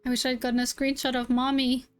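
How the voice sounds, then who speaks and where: Female gaming streamer, high voice, casual reaction commentary, faint music behind. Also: completely flat delivery, quick pace, smooth. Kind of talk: casual live game reaction.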